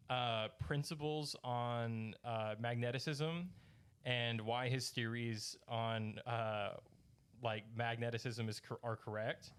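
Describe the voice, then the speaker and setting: A man rambling through a story in casual podcast chat, halting as he searches for words. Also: medium pitch, fairly bright tone, smooth. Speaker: podcast host.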